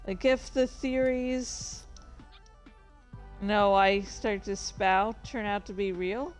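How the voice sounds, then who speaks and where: Woman, casual livestream chat, slow pace, rambling, self-interrupting, playfully excitable, music in background. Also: mid-range voice. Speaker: streamer and author.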